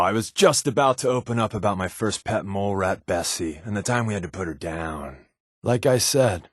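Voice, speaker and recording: A man acts out a secretive male undercover operative from a video game. His voice sits slightly low, with a glib, wisecracking, conversational delivery that stays guarded. The sound is close and dry, with no room on it. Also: no echo, natural voice. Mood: wry, teasing. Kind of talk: video game companion dialogue.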